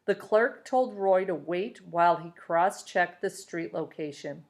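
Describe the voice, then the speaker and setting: A woman's voice: on the low side, unhurried. Woman reading a story aloud. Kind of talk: expressive audiobook-style story reading for children.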